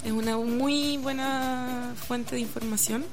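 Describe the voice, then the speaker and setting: Higher-voiced Chilean woman radio host over music. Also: steady tone, slow pace. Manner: playful, teasing. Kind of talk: casual radio chat.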